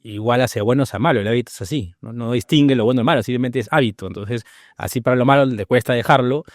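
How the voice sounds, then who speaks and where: A man's voice: mid-range voice, quick pace, no echo, clean studio sound. A man hosting a podcast interview, asking long, winding questions and often adding "¿no?". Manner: rambling questions with many "¿no?" tags. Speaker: podcast host.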